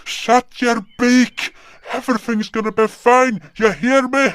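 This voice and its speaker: A man's voice: extremely high pitch, fairly even intonation, measured pace, fairly bright tone, smooth. A man playing a remorseful furred frostborn creature, narrating his own fantasy tale in a solemn, dramatic manner. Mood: desperate. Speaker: narrator and voice actor. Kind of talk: first-person dramatic fantasy narration in character. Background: music.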